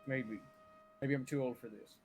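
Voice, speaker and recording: A man's voice: medium pitch, fairly even intonation, moderate pace, faint music behind. A man bantering in character as a police chief in a roleplay, often stumbling over his words.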